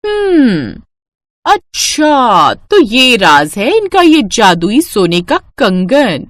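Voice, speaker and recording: A sly, greedy young aunt from a cartoon story, scheming and suspicious, with a high voice that leaps around a lot, as a woman puts her on. Her talk is dry and close, with no room around it. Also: wildly swooping pitch, very slow, no room sound, heavily acted. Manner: suspicious, sly, feigns innocence. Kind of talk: cartoon voice acting.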